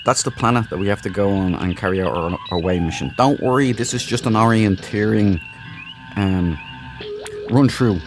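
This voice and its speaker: A man giving casual let's play commentary, explaining things in a chatty, informal, rambling way. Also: low voice. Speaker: gaming video host. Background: game music.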